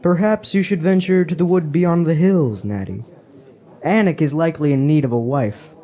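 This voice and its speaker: A man's voice: a little high, normal speed, warm tone, lightly acted. A man playing a carefree young hedonist in a fantasy radio drama, wry and flowery in his phrasing. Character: carefree young hedonist drinker, teasing and philosophical.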